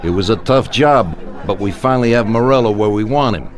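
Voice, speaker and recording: An actor playing a ruthless middle-aged mob boss in a video game, speaking with measured, blunt authority. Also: male voice, lowish voice, a crowd in the background, background noise, close to an everyday voice. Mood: grim, resolute. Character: ruthless, commanding male mob boss ordering a hit.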